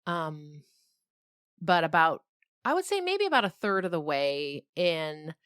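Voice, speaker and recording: A woman's voice: sits low, big pitch swings, unhurried, a bit bright, smooth, no echo, clean studio sound. A middle-aged woman talking reflectively and with animation about her creative work in a conversational interview. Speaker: novelist and podcast creator being interviewed.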